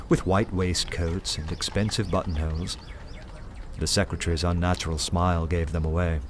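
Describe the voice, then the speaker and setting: An actor playing an undercover detective, narrating a radio play in a measured, literate, suspenseful manner. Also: male voice, fairly low pitch, slight background noise, close to an everyday voice. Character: undercover male detective narrating his tense adventure. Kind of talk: dramatic first-person narration over music. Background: music.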